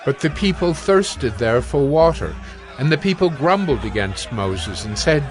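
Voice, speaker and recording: A man narrating scripture for a dramatized reading in a measured, solemn manner. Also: medium pitch, sing-song, some chatter behind.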